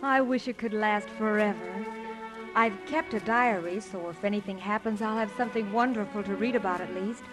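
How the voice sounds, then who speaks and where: A woman's voice: medium pitch, normal speed, over music, natural voice, low-quality recording. An actress playing a sweet, wide-eyed, wistful young woman in a 1950s radio musical comedy. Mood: wistful happiness. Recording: vintage 1950 radio broadcast recording.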